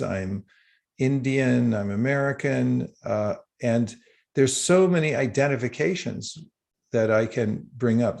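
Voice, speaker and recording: A man giving a livestreamed spiritual talk with slides, unhurried and halting, with small chuckles. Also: medium pitch, creaky, a little mellow. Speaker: spiritual teacher.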